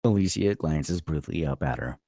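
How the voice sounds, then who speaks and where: A man's voice: rumbling low, expressive, moderate pace, warm tone, no room sound, clean studio sound. A man narrating stage directions as scripted narration at a table read.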